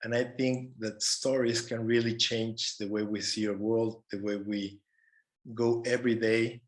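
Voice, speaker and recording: Slow-speaking man on a panel. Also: lowish voice, flat delivery. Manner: earnest, halting with repeated words. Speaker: panelist, conservation worker. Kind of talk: prepared but informal panel talk. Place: online video call.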